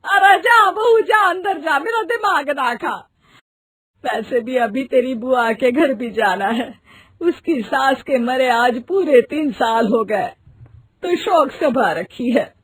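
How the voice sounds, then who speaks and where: Fussy older mother-in-law, storybook; woman voices her, husky, slow, dry. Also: high voice, expressive, no room sound, exaggerated voice. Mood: scolding. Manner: scolding, dramatic.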